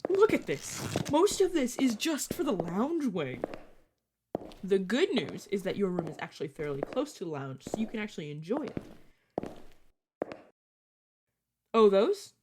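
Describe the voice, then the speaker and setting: A woman's voice: medium pitch, big pitch swings, moderate pace, noisy background, lightly acted. A woman playing a casual, reassuring vampire guide in an audio roleplay, talking informally to the listener as a mentor to a newcomer. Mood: chatty, casual. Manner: chatty, informal, self-correcting, reassuring.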